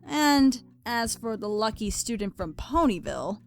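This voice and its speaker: A woman's voice: on the high side, sing-song, a little slow, soft background music. A woman reading scripted story narration.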